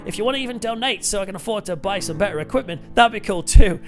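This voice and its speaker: A man's voice: high voice, lively intonation, fast talker. A British man hosting a casual film review, talking to viewers in an informal, enthusiastic and emphatic way. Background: music.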